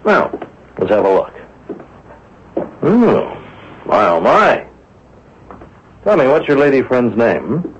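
A man's voice: medium pitch, huge pitch swings, slow pace, long pauses, slight background noise. An actor playing a wry, sceptical police inspector in a radio mystery drama, drawing out his words in a sardonic, needling way. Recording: dull lo-fi old radio broadcast recording.

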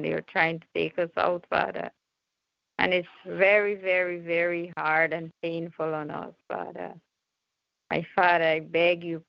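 A woman's voice: lowish voice, gravelly, no room sound. A woman praying aloud in a fervent, unscripted style, pleading and repeating her appeals, on dull lo-fi audio. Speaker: prayer group member leading prayer. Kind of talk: fervent extemporaneous prayer.

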